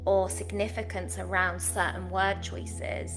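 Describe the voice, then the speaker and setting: An earnest, effusive woman hosts a heartfelt podcast conversation at a middle pitch, feeling her way through her sentences. Clear music plays behind her voice throughout. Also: little pitch movement, measured pace, poor audio. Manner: earnest, effusive, searching for words. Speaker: podcast host.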